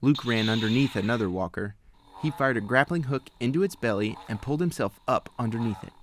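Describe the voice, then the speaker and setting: A man's voice: medium pitch, brisk. Man narrating a storybook, noisy recording. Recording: clear background noise, clicks.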